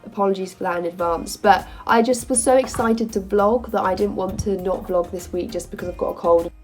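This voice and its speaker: A woman in her twenties, a medical student, chatting casually to camera in an upbeat, quick way, with a stuffy nose from a cold. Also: medium pitch, steady tone, faint music behind. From England. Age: 20s. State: bunged up with a cold. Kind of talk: casual vlog talk.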